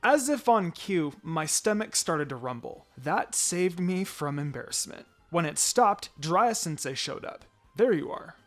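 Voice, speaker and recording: A man's voice: a little high, animated, a little slow, few pauses, a bit bright. A man reading a first-person story aloud in a dramatized narrating style, switching between telling and dialogue. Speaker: fan fiction reader. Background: background music.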